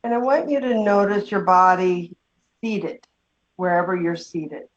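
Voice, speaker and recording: A woman leading a guided meditation, giving slow, gentle instructions with long pauses. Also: lowish voice. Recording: dull, muffled lo-fi sound.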